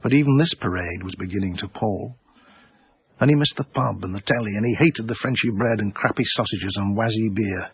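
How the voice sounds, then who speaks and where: An actor playing a wry, literary-minded man narrating his story in a radio drama, deliberate and knowing. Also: fairly low pitch, slightly rough, no room sound. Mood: wry, storytelling. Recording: slightly dull, very dry radio recording.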